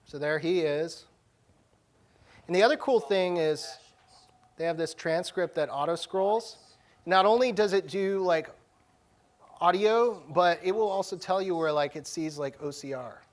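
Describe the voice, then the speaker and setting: A man's voice: sits high, unhurried, pauses often. A man presenting a live tech demo at a conference session, speaking in an informal, slangy, casual way. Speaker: conference presenter, technology director.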